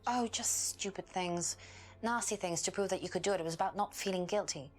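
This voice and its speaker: A woman's voice: lowish voice, fairly quick, faint music behind, lightly acted. A woman playing a guarded, uneasy young witness in a mystery game, speaking hesitantly and defensively. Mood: guarded, disdainful. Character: guarded young female witness, uneasy former band singer. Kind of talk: acted dialogue in an FMV mystery game.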